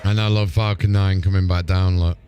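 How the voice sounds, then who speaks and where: A man's voice: low-pitched, level tone, a little fast, a crowd in the background, noisy background. A man chatting casually as a streamer, reacting live to what he is watching. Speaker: gaming streamer.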